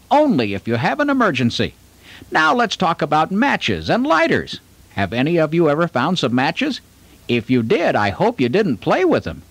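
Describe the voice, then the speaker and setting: A man's voice: high voice, slightly rough, no room sound, full character voice. A man playing an upbeat puppet show host who teaches children about safety in an encouraging, exaggerated manner.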